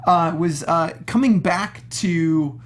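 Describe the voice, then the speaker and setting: A man's voice: a little high, takes it slowly, a little hiss or hum. A man chatting casually as co-host of a film review panel, using informal slang. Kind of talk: casual chat.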